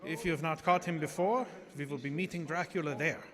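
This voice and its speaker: Actor as solemn old vampire hunter in reverb. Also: male voice, on the high side, fairly even intonation, natural voice. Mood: grave narration. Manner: solemn, halting foreign phrasing. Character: old Dutch professor and vampire hunter, grave, commanding. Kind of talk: live radio horror drama acting. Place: small theater stage. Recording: noisy live stage broadcast, long hall reverb.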